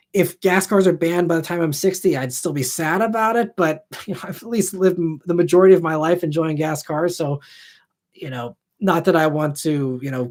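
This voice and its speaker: Man, 30s, live-stream host, casual, rambling, filler-heavy, a bit higher pitched. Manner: rambling, heavy on fillers like "you know" and "I mean". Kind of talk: live stream chat answering viewer questions.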